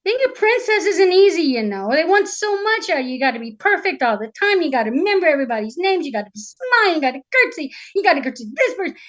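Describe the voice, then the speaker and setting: A woman's voice: very high voice, big pitch swings, fast, few pauses, fairly bright tone, highs cut off, voice pushed up, exaggerated voice. A woman voicing a shy, anxious young princess in a fairy tale, fretful and wary as she protests. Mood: fretful, overwhelmed. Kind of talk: storytelling character voice.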